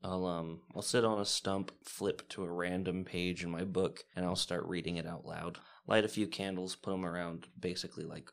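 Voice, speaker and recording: Man, deep voice, creaky fry, casual tabletop player, halting conversational delivery. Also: flat delivery. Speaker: player in a tabletop game podcast. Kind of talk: casual talk describing game actions.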